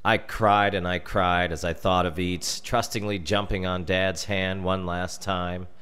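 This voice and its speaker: An American man reading a scripted story as narrator, theatrically, with dramatic pauses. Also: deep voice, a bit bright, smooth. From United States. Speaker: storyteller reading his comic family memoir.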